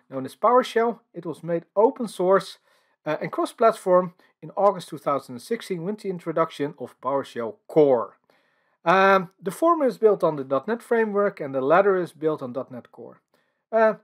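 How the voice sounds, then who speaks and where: A man from the Netherlands with a Dutch accent, giving a technical conference presentation over slides in an explanatory way, with many filler sounds. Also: a little high. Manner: explanatory, filler-heavy with frequent uhs. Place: voice-over for screen recording and slides.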